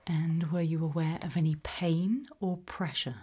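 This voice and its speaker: A British woman doing an ASMR roleplay interview, asking gentle questions in a soft, breathy whisper. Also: deep voice, fairly even intonation, measured pace. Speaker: ASMR roleplay creator playing an investigator.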